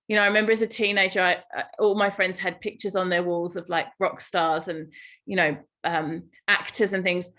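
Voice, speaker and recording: A woman's voice: fairly low pitch, flat delivery, fairly quick, fairly bright tone. A middle-aged woman with an English accent, telling personal stories as a guest in a conversational podcast interview. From England. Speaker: guest, yoga teacher and Ayurveda guide.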